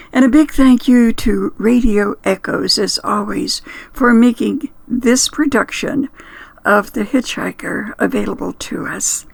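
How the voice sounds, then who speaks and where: A woman's voice: mid-range voice, creaky, no room sound. A woman hosting a radio story show, giving a scripted presentation straight to listeners. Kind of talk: scripted sign-off narration.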